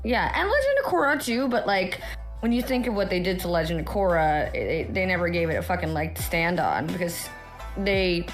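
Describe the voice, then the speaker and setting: An American woman in her thirties with a hoarse voice, rambling and swearing freely in casual chat as a podcast guest. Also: on the low side, animated, a little fast, faint music behind. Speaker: actor.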